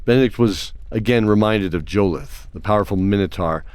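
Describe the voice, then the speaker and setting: A man narrating a scripted fantasy story in a measured, descriptive storytelling manner. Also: on the low side, a little mellow, clear, resonant tone, dry recording. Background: faint music.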